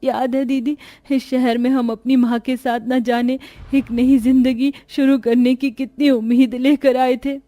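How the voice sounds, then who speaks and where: A woman's voice: high voice, little pitch movement, measured pace, few pauses, warm tone, soft background music, pitched above the performer's own voice. A woman voicing a sad young orphan girl who pleads earnestly in a cartoon story. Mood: wistful sorrow.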